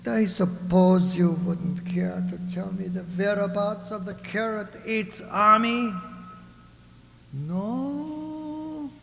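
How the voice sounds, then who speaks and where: A man playing a scheming onion ruler in a radio play, courteous and pompous with a smooth menace. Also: high voice, slow, a bit bright, slight background noise, exaggerated voice. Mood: mock-genteel menace. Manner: pompous, mock-genteel menace. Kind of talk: theatrical radio drama acting. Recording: echoey hall with long reverb.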